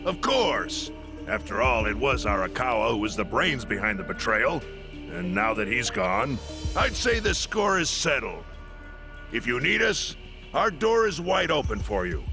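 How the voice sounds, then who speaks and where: A man's voice: mid-range voice, big pitch swings, unhurried, over music. A man playing a slick, effusive yakuza patriarch in a video game cutscene. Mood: ingratiating.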